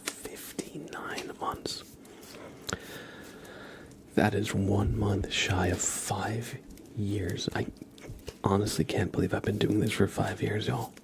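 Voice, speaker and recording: A man's voice: lowish voice, takes it slowly, pauses often. A man hosting a live ASMR stream, chatting to viewers with playful, murmured role-play patter. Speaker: livestream host.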